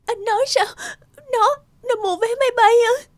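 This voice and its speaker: A woman's voice: very high voice, moderate pace, no echo. Anxious, emotional cartoon mother. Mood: shocked. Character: worried, tearful mother of a teenage girl. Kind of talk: animated drama voice acting.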